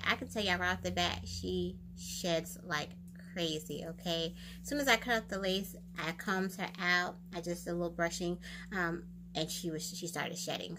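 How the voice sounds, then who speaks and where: A young American woman chatting casually and expressively to camera in a product review, with drawn-out exclamations. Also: lowish voice, slight background noise. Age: young adult. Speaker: beauty vlogger and wig reviewer. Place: small room indoors.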